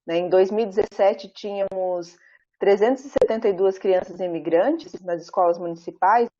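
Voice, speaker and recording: A Brazilian woman professor giving an academic talk over a video call, speaking in a measured, thoughtful way with frequent pauses and tag questions. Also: medium pitch, a little mellow. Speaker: professor presenting her research. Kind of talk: academic talk at an online book launch. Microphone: video call, camera off. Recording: muffled video call audio.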